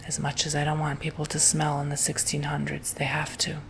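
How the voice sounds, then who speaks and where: A man whispering softly and gently through an ASMR roleplay, as if doing someone's makeup. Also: on the high side, completely flat delivery, gravelly, a little hiss or hum. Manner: soft whispering, slow and gentle.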